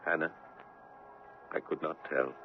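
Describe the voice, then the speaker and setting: An actor playing a tormented, controlling fiancé in an intense, melodramatic 1970s radio drama style. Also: male voice, rumbling low, steady tone, a little slow. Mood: brooding narration. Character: George, anguished, controlling fiancé narrating his own torment. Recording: noisy, tinny vintage radio broadcast.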